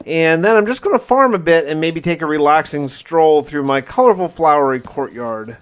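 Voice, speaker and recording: Male gamer-narrator, slightly high voice, diary-style survival recap, casual yet dramatic storytelling with dry asides. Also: lively intonation, moderate pace, clear, resonant tone.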